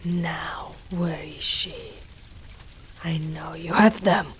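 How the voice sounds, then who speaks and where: A woman's voice: low voice, steady tone, extremely slow, a little hiss or hum. A woman playing a devoted, fierce dragon knight in a dramatic ASMR roleplay, speaking in formal, archaic phrases with theatrical weight. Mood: menacing, commanding.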